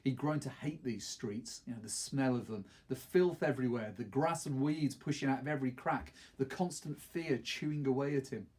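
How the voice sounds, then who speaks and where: Middle aged guy reading a book out loud, kinda raspy voice, expressive storytelling. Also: mid-range voice, moderate pace, hardly any pauses. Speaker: teacher reading a novel aloud. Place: small wood-panelled study, indoors.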